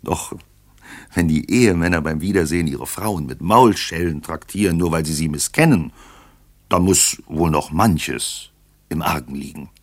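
A man narrating a radio drama as a storyteller, reading scripted prose in a measured, expressive way. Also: very deep voice, no room sound.

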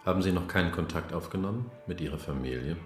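A calm therapist, voiced by a man in a radio play. His voice is very deep, and he keeps to brief, neutral prompts. The room sounds echoey. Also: fast, faint music behind, natural voice. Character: calm therapist questioning the maid.

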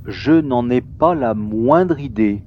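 A man's voice: medium pitch, expressive, takes it slowly, highs cut off, soft background music. A man playing a sober, doubtful spaceship crewman in a science fiction audio drama.

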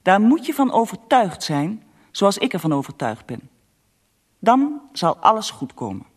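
An actress playing a devout Russian empress, reading out her letter with measured, insistent earnestness in a radio drama. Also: mid-range voice, big pitch swings, husky. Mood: earnest pleading.

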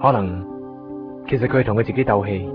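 A man's voice: on the low side, a little fast, natural voice. An actor playing a plain-talking, somewhat impatient man in a Cantonese radio drama, with a Hong Kong accent. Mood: reflective. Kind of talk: Cantonese radio drama acting and storytelling monologue. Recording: dull lo-fi 1990s radio recording. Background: music.

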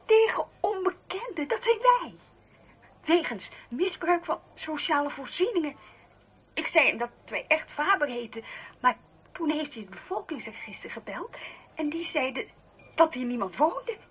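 High, animated, frightened wife. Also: female voice, moderate pace. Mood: anxious. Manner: anxious, pleading. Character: frightened, anxious wife pleading with her husband. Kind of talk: Dutch radio play acting.

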